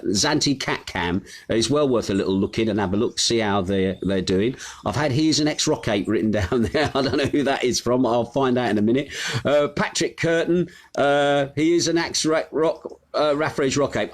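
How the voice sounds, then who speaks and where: Middle-aged British man, mid-range voice, hosting a home live stream, casual and friendly as he greets viewers. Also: mid-range voice. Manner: chatty and friendly, reads out viewer names with many fillers. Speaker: host of a live news stream. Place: his home on a Greek island.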